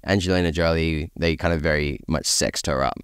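A man's voice: a bass voice, steady tone, fairly quick, clean tone, dry recording, studio quality. A man chatting casually as a podcast panelist in an agreeable manner. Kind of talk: casual panel discussion about films and games.

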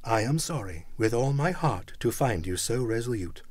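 A cheerful young man pleads earnestly, as a male reader gives him voice. His pitch rises and falls with feeling, recorded close and dry. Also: lively intonation, moderate pace, darkish tone, no echo, natural voice.

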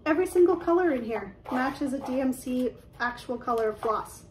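A woman, chatting excitedly and quickly about her craft projects from her living room, filled with "um"s and little laughs. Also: sits high, slight background noise. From Canada. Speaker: cross-stitch vlogger. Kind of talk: casual show-and-tell chat. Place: living room at home, indoors. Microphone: webcam at desk distance.

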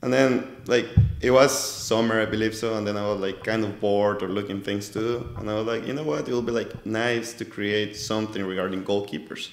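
A Colombian man in his twenties speaks English with a Spanish accent, relaxed and laughing easily, filling pauses with "like". His voice sits low. The office studio around him sounds roomy and echoey. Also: creaky. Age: 20s. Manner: easygoing, laughs often, many "like" fillers. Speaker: podcast guest, goalkeeper coach. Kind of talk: casual interview chat. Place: office room used as a podcast studio. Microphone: studio mic on a stand, headphones.

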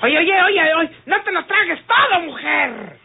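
An actress playing a bossy, sharp-tongued rural Mexican woman in an old radio soap, scolding with emphasis. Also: extremely high pitch, expressive, measured pace, vocal fry, full character voice. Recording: tinny band-limited old radio drama.

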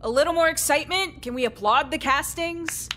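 A high-school drama teacher in a visual novel. Her voice is high, and her delivery is theatrical, instructive and exasperated. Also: fairly quick, bright, forceful tone. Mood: encouraging.

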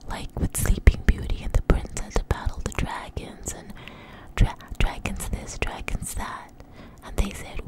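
A woman telling a story in a breathy, close-up ASMR whisper, halting and unhurried, with frequent pauses. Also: mid-range voice, low-quality recording. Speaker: ASMR video creator. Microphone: close microphone.

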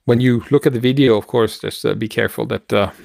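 A middle-aged man with a gravelly voice, co-hosting a casual science news discussion in a halting way, searching for words. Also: medium pitch, a little mellow, dry recording, studio quality. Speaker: podcast co-host. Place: home room, video call. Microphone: headset on a video call.

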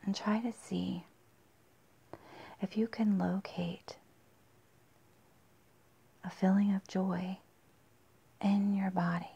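A woman leading a guided meditation in a soft, breathy, husky near-whisper with long pauses, in a dull, muffled recording. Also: mid-range voice, flat delivery.

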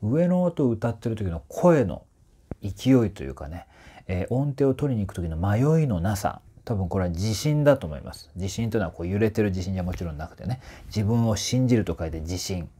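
A man in his forties giving casual, explanatory commentary to camera as a voice trainer, in a slightly husky voice. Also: fairly low pitch, fairly quick. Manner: animated, often sings short phrases to demonstrate. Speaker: voice trainer reviewing singers. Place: small indoor room with curtains.

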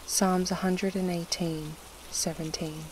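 A narrator reading scripture and prayer for a sleep meditation in a measured, solemn way with pauses between short phrases, in a devotional style. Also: medium pitch, slight background noise, poor audio. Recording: steady rain sound over the voice. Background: soft rain.

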